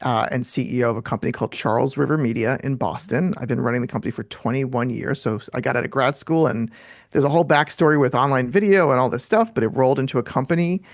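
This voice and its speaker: A middle-aged American man from New York City chatting casually as an interview guest, quick to joke at his own expense. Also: medium pitch, no room sound, studio quality. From New York City, USA. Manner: fast-talking, self-deprecating joker. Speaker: guest, author and filmmaker.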